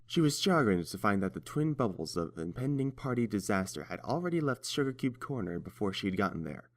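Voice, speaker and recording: A man narrates a story from a script in a fairly low voice. The recording is dry and close. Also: no room sound.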